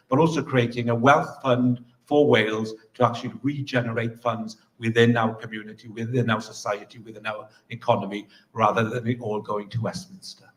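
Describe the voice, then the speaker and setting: A man's voice: mid-range voice, poor audio. A man speaking as a political candidate, setting out policy at a hustings. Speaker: parliamentary candidate. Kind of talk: hustings speech.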